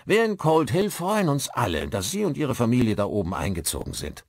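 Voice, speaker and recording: A man acts out a hearty village pub landlord for an audiobook. The voice is jovial and welcoming, swinging widely in pitch, and sounds close and dry. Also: huge pitch swings, brisk, no room sound. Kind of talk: audiobook character dialogue.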